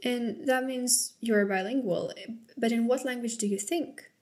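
A woman's voice: a little high, unhurried, a little mellow. A woman interviewer asking questions in a curious, friendly manner.

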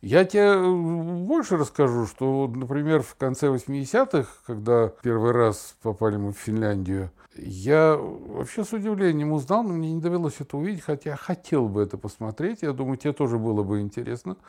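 Older male podcast host, mid-pitched, conversational raconteur with a theatrical, wandering delivery. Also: lively intonation, brisk, hardly any pauses, darkish tone. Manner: theatrical, digressive, illustrates with sound imitations and examples. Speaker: podcast host, father talking with his daughter. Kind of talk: casual podcast conversation, storytelling.

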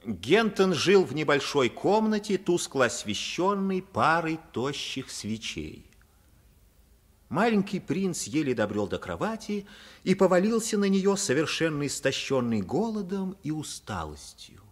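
An actor playing the storyteller of an adventure tale in a 1950s radio play, measured and theatrical. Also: male voice, on the high side, big pitch swings. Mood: measured storytelling. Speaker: narrator. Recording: vintage 1950s radio recording.

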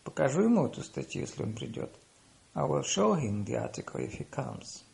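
A man reading paired lesson phrases, saying the English slowly and deliberately after quicker Russian. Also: mid-range voice, sing-song, creaky, a little mellow. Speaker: language teacher.

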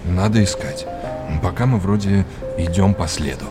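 An erudite art historian with a deep voice. He is a wry mentor in an audio play, lecturing with old-fashioned courtesy and gentle irony over clearly audible music. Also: lively intonation, normal speed. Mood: expansive, informative. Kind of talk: naturalistic audio drama acting.

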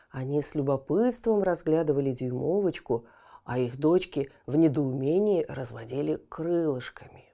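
A woman narrating a fairy tale for children in a gentle, expressive storytelling style, often dropping to a hushed whisper. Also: medium pitch.

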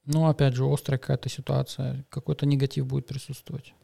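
Male podcast co-host in his thirties, dark gravelly voice, hesitant delivery. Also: mid-range voice, completely flat delivery, fast talker. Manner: hesitant, many fillers and restarts. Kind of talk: casual podcast chat and news reading.

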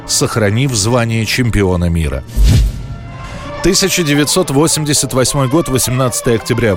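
Man, documentary narrator, history programme, scripted delivery, mid-pitched voice, light music behind. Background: faint background music.

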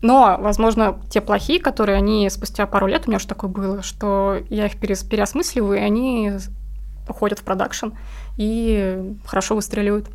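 Casting: female interview guest, medium pitch, casual conversational delivery, informal explanations. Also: steady tone, fairly quick. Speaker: interview guest, comic artist.

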